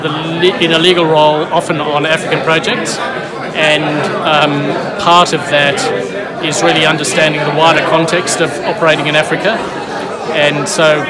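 Careful man with a slightly high voice. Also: flat delivery, moderate pace. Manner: careful, precise. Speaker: lawyer. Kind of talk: casual vox pop interview. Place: large indoor function room at a networking event. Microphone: phone held close, selfie framing. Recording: loud crowd chatter behind.

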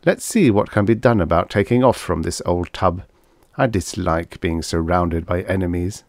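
A man's voice: low voice, normal speed, no room sound, clean studio sound. A man playing a bitter, sardonic American scientist in a story, with a blunt, wry, emphatic manner. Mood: businesslike.

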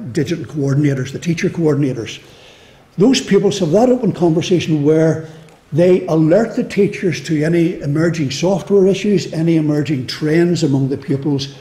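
Man, Northern Irish accent, panel speaker, mellow tone, echoey hall. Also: a little high. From Northern Ireland. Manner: measured, with restarts and fillers. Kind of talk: panel discussion answer. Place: lecture hall.

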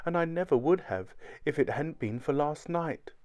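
A man's voice: fairly high pitch, animated, moderate pace, darkish tone, pitched above the performer's own voice, natural voice. A man voicing an earnest, confiding wife who speaks with emotion and tenderness in an audiobook story. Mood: nervous, confessing. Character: earnest, nervous wife confessing, then gushing with tenderness. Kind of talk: audiobook character dialogue.

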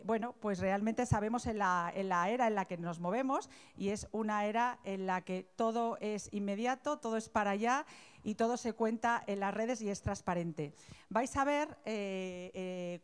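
Smooth-voiced young woman presenter. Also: medium pitch. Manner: hesitant, many fillers. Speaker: event presenter. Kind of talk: introducing a speaker. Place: large university auditorium.

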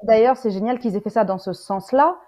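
A woman's voice: on the high side, brisk, dark tone. A woman on a panel chatting casually in informal, slangy language over a video call. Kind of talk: casual chat. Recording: muffled video call audio.

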